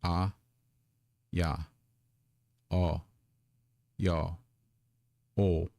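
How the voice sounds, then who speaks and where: A man's voice: low-pitched, flat delivery, very slow, creaky, a little mellow, dry recording. A man who is a native English speaker, teaching a live Korean lesson at his streaming desk in a casual, self-deprecating way, repeating words as he sets up.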